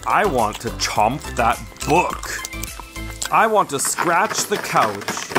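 Guy doing a grumpy drama queen cat for kids book, really slow, pitch all over the place. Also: over music. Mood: bored, restless. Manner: deadpan grumbling with melodramatic outbursts. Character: grumpy, dramatic, easily spooked house cat. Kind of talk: children's storybook read-aloud.

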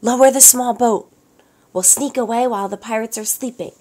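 A woman's voice: fairly high pitch, fairly even intonation, normal speed, warm tone, husky, voice pushed up. A woman voicing a brave, level-headed young girl who sounds earnest and determined. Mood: urgent.

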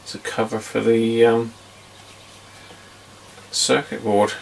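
Middle aged guy, gravelly voice, mumbling along casually while he tinkers, noisy room with clicks. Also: mid-range voice, little pitch movement, takes it slowly. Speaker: vintage radio hobbyist presenter. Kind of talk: casual hands-on demonstration commentary. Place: small indoor electronics workbench.

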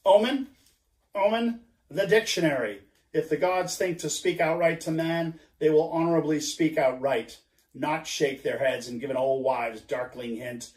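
A man's voice: fairly high pitch, steady tone, normal speed, close to an everyday voice. A man voicing an obsessed old sea captain who speaks in grand, archaic declarations, in a roomy recording. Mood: defiant. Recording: roomy echo.

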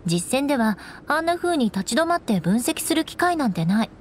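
High-voiced, poised noblewoman knight. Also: big pitch swings, a little fast, a little hiss or hum. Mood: composed, instructing. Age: young adult. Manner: poised, elegant, haughty, instructing. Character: proud, composed young noblewoman knight, strict but caring. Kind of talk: Japanese anime game voice acting.

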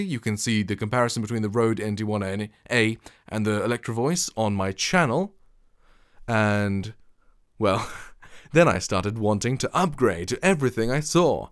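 A young man talking casually to camera in a small home studio, rambling and correcting himself as he goes, with a deep voice, close on a studio mic. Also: no room sound. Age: young adult. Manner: chatty, rambling, self-correcting. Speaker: vlogger comparing microphones. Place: small treated home studio with acoustic foam. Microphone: studio condenser and shotgun mics close up, reflection filter.

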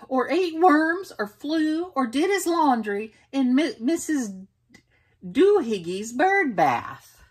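A woman voicing a bossy mother cat who scolds her kitten in a loud, high voice. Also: sing-song, takes it slowly, full character voice. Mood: scolding.

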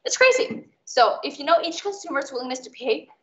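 Young woman from Mexico, chatty student tutor, rapid pace, roomy online call sound. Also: high voice, animated, lo-fi sound. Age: young adult. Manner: chatty, explains with everyday examples. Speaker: student tutor leading an exam recap. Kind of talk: informal online lesson. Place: indoors, online video call.

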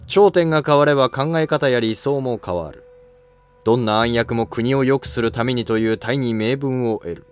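A man's voice: mid-range voice, normal speed, lightly acted. A man voicing an ambitious young nobleman son in a historical drama, polite but cutting, self-assured and defiant. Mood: insistent. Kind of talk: amateur historical drama dialogue.

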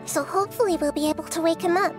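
A high, girlish voice for a gentle young goddess, soft and measured. Music plays clearly behind her in this game scene. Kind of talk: video game voice acting.

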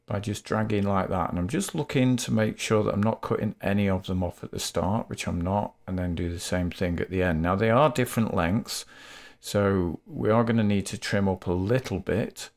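A man explaining a software workflow step by step in a methodical, unscripted tutorial manner. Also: low-pitched, creaky. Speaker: tutorial host, game developer.

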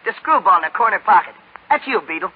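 A man's voice: high-pitched, lively intonation, fast talker, slightly rough. An actor playing a cocky, tough-talking boy with slangy wise-guy talk, in 1930s radio style. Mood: cocky, sneering. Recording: muffled 1930s radio broadcast.

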